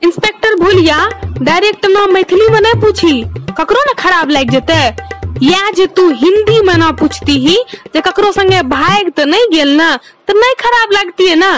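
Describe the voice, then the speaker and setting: Very high-pitched bossy policewoman, acted by a woman. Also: animated, normal speed, over music. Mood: scolding, commanding. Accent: Maithili, from Mithila. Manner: commanding, scolding. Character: bossy female senior police officer. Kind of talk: amateur cartoon comedy dialogue.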